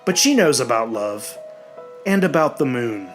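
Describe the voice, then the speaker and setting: Male horror audiobook narrator, mid-range pitch, slow and deliberate, suspenseful delivery over a music bed. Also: big pitch swings. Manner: deliberate, suspenseful storytelling with weighted pauses. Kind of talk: dramatic scripted horror narration. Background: clearly audible music.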